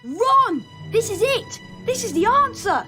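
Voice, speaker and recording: A woman voicing an excitable, eager twelve-year-old schoolchild wizard in a video game, exclaiming urgently. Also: very high-pitched, measured pace, over music, exaggerated voice. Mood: excited.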